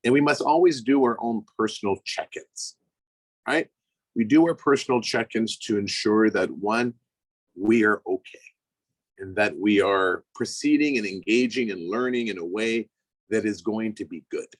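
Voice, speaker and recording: A middle-aged man with a cold-roughened, raspy voice, giving a calm, measured talk as guest speaker at an online session. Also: lowish voice, no echo, low-quality recording. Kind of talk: reflective public talk.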